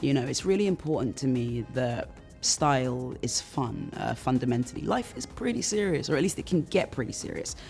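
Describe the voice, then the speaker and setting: A woman stylist speaks in a deep voice with plenty of gravelly fry. She talks calmly and thoughtfully to camera, with music playing faintly behind her. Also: fairly quick. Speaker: stylist and judge. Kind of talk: promo interview to camera.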